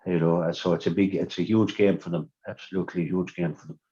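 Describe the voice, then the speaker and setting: A man's voice: low-pitched, steady tone, fast, dark tone. A man with an Irish accent giving sports analysis on the radio, repeating words and saying "you know" often. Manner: repetitive, many "you know" fillers. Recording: dull lo-fi sound.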